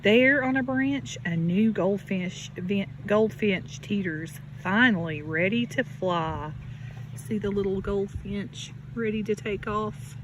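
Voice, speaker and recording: A woman teacher reading a picture book aloud to children, deliberate and expressive, with questions and asides to her listeners. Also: medium pitch, low-quality recording. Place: outdoors beside a natural pond. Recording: steady outdoor background noise. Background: birds and outdoor ambience.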